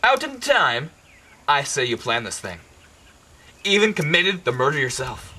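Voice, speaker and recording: A man's voice: on the high side, sing-song, a little slow, bright, forceful tone, breathy edge, heavily acted. A man playing a proud, suspicious, commanding king in an amateur Greek tragedy, speaking in formal declamation. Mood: angry, suspicious.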